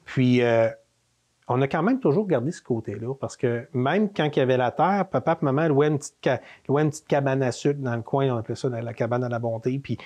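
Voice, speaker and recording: A man's voice: medium pitch, fairly quick, darkish tone. A man in his fifties with a Quebec French accent, telling family stories as an interview guest, with many fillers and restarts. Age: 50s. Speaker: interview guest, manor co-owner. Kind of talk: casual storytelling interview.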